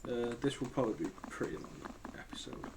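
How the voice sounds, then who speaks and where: A man's voice: mid-range voice, fast, heavy background noise. A man losing his voice and coughing, giving casual, rambling gameplay commentary as a gaming channel host. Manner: rambling, hesitant, thinks aloud.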